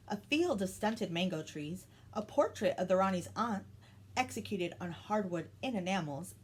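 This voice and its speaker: A woman reading a children's story aloud as a storytime host. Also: medium pitch. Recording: some background noise.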